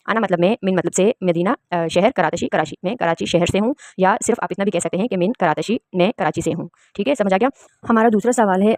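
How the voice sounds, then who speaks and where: A woman's voice: lowish voice, a little mellow, no echo. A woman from Pakistan, teaching an Arabic lesson and patiently explaining each word. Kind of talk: spoken language lesson.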